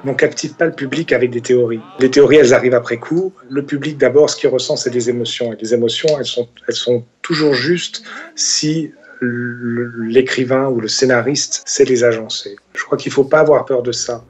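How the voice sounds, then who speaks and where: A man's voice: medium pitch, steady tone, fairly quick. A man answering spontaneously in an interview as a guest, often restarting his phrases. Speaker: writer, interviewee. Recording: slightly dull sound.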